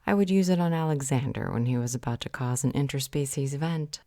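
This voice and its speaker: Woman acting very low-pitched sardonic woman. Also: a little fast, warm tone, dry recording, clean studio sound. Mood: teasing. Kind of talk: audio roleplay acting.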